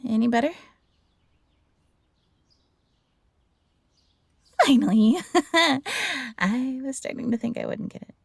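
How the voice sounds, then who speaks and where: A woman playing a gentle, eager fox-hybrid former servant in an intimate roleplay audio drama, soft-spoken and earnest with a breathy, slightly husky voice. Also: on the high side, normal speed, dry recording. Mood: playful, excited.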